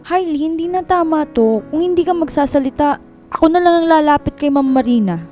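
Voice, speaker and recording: A woman's voice: sits high, expressive, a little fast, mellow, faint music behind. A woman playing a loyal, outspoken coworker in a radio drama, talking informally and urgently to a friend. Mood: indignant. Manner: urging, indignant, informal.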